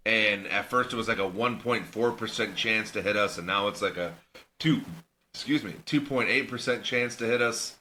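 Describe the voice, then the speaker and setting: A man with a strikingly bright, tense voice chats loosely on a podcast. He rambles through his points and keeps the talk light, with frequent laughter. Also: on the low side, moderate pace, slight background noise. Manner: easygoing, rambling, laughs often. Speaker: podcast co-host. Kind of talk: casual banter.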